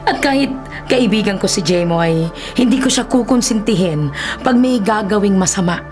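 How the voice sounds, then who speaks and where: A woman plays a fair-minded village chief in a Filipino radio drama. She speaks in a measured, firm and reassuring way, with music underneath. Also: medium pitch, expressive, lightly acted.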